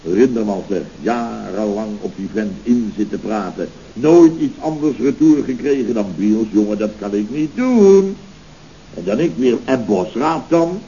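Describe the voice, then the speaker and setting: A man's voice: fairly low pitch, lively intonation, measured pace, mellow. A man playing a pompous, indignant, self-satisfied building contractor in a scripted radio comedy, blustering and rambling in grand words. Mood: aggrieved. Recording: dull, noisy old radio recording.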